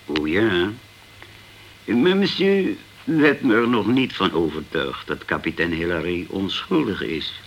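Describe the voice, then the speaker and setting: An actor playing a formal, sceptical police inspector in a Dutch radio crime drama, measured and challenging. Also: male voice, deep voice, sing-song, dull audio, a little hiss or hum, exaggerated voice.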